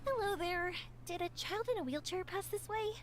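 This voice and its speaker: A young woman streamer reading game dialogue aloud, gentle when reading, loud in her reactions. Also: very high-pitched, moderate pace, a little hiss or hum.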